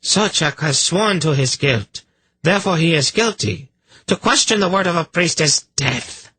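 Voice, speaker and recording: A man's voice: medium pitch, big pitch swings, measured pace, a little hiss or hum, voice pushed up. Man acting throaty, purring, cruel priestess. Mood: imperious anger. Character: cruel, scheming priestess, imperious and smugly menacing.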